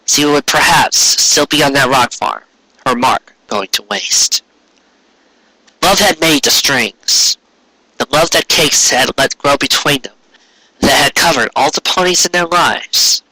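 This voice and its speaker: Bright, dry-sounding male story narrator. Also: fairly high pitch, expressive, a little slow, no room sound, low-quality recording. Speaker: amateur audiobook reader.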